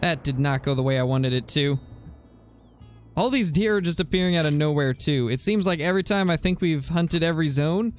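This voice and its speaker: A man's voice: fairly high pitch, a little fast, soft background music. Laid-back rambling young streamer guy. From US West Coast. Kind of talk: casual gameplay commentary, chatting with viewers.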